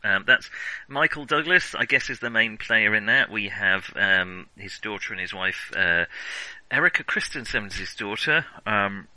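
Man, podcast host, sharp bright tone, rambling, self-correcting, casual film chat, dry sound. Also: on the low side, expressive, a little slow, dry recording, low-quality recording. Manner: hesitant, rambling, self-interrupting.

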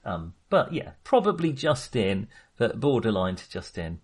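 A man's voice: lowish voice, big pitch swings, a little slow, darkish tone, husky. A man co-hosting a casual film discussion, speaking in a hesitant, self-qualifying way. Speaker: podcast co-host discussing films.

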